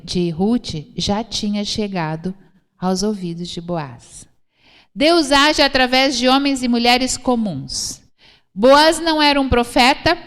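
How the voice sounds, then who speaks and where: A woman with a Brazilian Portuguese accent preaching a church sermon, explaining scripture didactically and repeating phrases for emphasis. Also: on the high side. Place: church hall, speaking to a congregation. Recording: reverberant hall sound.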